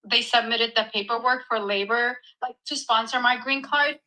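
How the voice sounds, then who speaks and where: A woman from Ethiopia asking for advice as a caller on a live stream, casual and halting in her phrasing. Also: a little high, fairly even intonation, sharp tone, low-quality recording. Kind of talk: casual, tentative question on a live call.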